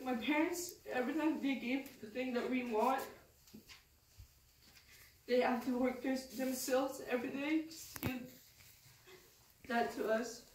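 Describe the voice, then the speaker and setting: Teen girl giving a talk, slow and hesitant, echoey room, faint hiss. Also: sits high, distant mic. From Philippines. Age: teens. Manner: halting, hesitant, with many restarts. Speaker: student speaker. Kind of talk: personal testimony speech. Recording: echoey room, mic from afar, faint noise.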